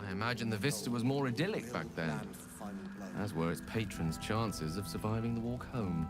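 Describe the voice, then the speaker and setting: An actor playing an urbane, bookish wizard in a fantasy game, articulate and dry. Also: male voice, lowish voice, expressive, moderate pace, over loud music, close to an everyday voice. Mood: wry appraisal.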